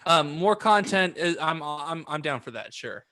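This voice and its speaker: A man with a bright, rather high voice and animated delivery co-hosts a podcast. He chats casually, protesting and making self-deprecating remarks. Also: a little slow. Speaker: podcast co-host. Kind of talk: casual bantering chat.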